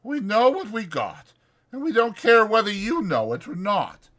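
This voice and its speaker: A man's voice: high-pitched, huge pitch swings, unhurried, fairly bright tone, pitched above the performer's own voice, exaggerated voice. A man voicing a cranky, defiant old ranch hand with a blustering, folksy rural American delivery in an audiobook. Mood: controlled, dismissive.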